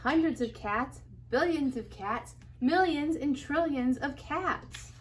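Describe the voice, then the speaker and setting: A woman's voice: sits high, lively intonation, slow. A woman playing a fussy but caring very old woman in a storybook, speaking emphatically. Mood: dismayed. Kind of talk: reader's theater character acting.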